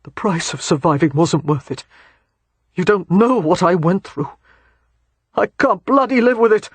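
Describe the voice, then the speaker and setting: A man voicing a bitter, traumatized male ex-soldier who shouts his accusations in a strained, tearful voice. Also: sits high, moderate pace, slightly rough, dry recording. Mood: broken. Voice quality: strained, near tears.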